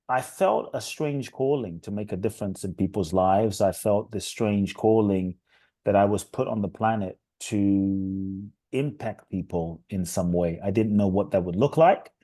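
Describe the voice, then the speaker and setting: Man from London, very low and warm voice, earnest reflective storyteller, dry close-miked sound. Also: no room sound. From London, England. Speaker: guest, inspirational speaker. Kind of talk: podcast interview.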